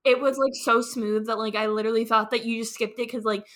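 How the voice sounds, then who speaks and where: A woman's voice: on the high side, steady tone, fast talker, fairly bright tone. A woman guest on a podcast, chatting in a laid-back, playful way. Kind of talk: casual podcast chat.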